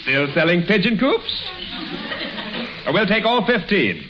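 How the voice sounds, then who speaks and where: Through heavy noise on a dull old radio recording comes a blustery middle-aged American lawyer. His pitch leaps about as he gets flustered and exasperated, in the manner of 1940s radio comedy. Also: sing-song, a little slow. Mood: sarcastic, irritated. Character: busy, exasperated middle-aged male small-town lawyer.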